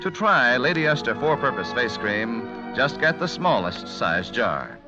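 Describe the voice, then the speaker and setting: A man's voice: mid-range voice, very animated, unhurried. An American man announcing a 1940s radio show in a scripted broadcast style. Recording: dull vintage radio broadcast with music.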